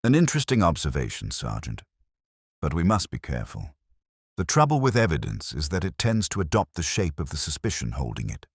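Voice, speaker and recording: A man voicing a shrewd, gentle police inspector in a mystery story, deliberate and dryly amused. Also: very deep voice, wildly swooping pitch, breathy edge, dry recording, studio quality, exaggerated voice. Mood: dry amusement.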